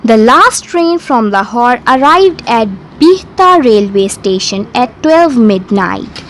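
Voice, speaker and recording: Woman, high voice, lively rise and fall, unhurried pace, deliberate bedtime story narration. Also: a little hiss or hum.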